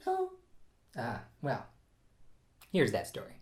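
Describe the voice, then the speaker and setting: A man's voice: medium pitch, wildly swooping pitch, slow. A man casually telling a personal story in a chatty, self-deprecating way, often laughing at himself.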